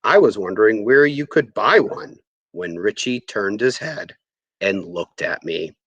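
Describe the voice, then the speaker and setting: A man reading a first-person story aloud in an expressive, conversational way. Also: fairly low pitch, measured pace, slightly rough, dry recording, highs cut off. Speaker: audiobook reader.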